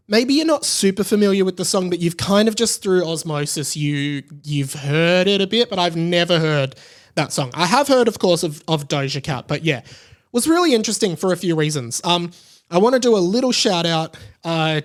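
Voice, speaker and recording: A man in his thirties with an Australian accent hosting a podcast solo, chatty and rambling in casual commentary. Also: a little high. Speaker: podcast host.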